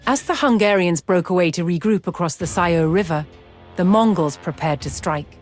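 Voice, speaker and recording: A woman reading scripted documentary-style narration in a measured, solemn and dramatic storytelling manner. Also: lowish voice. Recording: music behind the voice.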